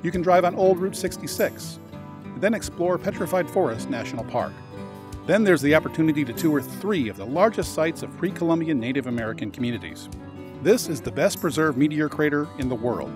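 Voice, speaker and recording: Lively travel narrator over guitar music. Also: male voice, on the high side. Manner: informative, measured tour-guide delivery. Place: voice-over, not on location.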